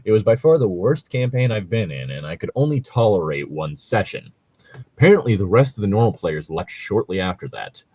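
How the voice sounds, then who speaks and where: A man's voice: on the low side, very animated, a little fast, darkish tone, breathy edge, dry recording. A man casually bantering and reading online stories aloud, with a wry, joking, sometimes profane manner. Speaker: co-host reading online tabletop gaming horror stories.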